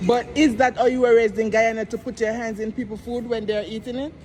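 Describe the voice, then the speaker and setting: A woman's voice: on the high side, little pitch movement, a little fast, a little mellow, soft background music. A woman vlogger in a casual bantering argument, insistent and questioning, pressing the same points again.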